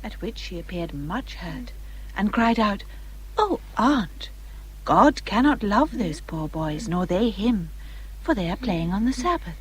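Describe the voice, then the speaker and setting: An English actress playing a gentle, considerate young gentlewoman in a period radio drama, soft-spoken and earnest. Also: mid-range voice, very animated, unhurried, husky, poor audio. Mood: gentle, subdued.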